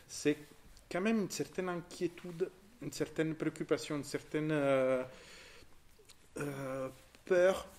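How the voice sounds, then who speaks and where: A man in his forties speaks French with an Italian accent, in an ordinary middle pitch. He talks at a casual, unhurried pace, stopping and restarting as he hunts for the right word, stringing his thoughts together with fillers. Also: a little mellow. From southern Italy. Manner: hesitant, searching for words, many restarts. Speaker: visitor discussing an exhibition. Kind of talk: casual conversation.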